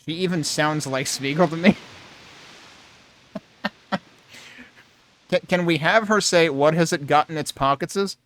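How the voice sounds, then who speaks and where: A man's voice: fairly high pitch, unhurried, pauses often, fairly bright tone. A man giving casual, rambling playthrough commentary as he thinks aloud. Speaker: game streamer.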